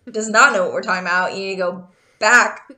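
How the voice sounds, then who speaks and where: A woman's voice: mid-range voice, brisk, pushed and bright. Woman, thirties, casual podcast storyteller, chatty, laughs often, trips over names. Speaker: podcast co-host telling a true crime story.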